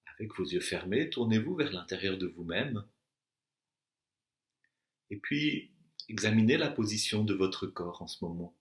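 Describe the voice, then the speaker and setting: A man teaching meditation, guiding a deep relaxation with slow, deliberate instructions and long pauses. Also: lively intonation. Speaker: yoga nidra and meditation teacher. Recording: echoey room sound.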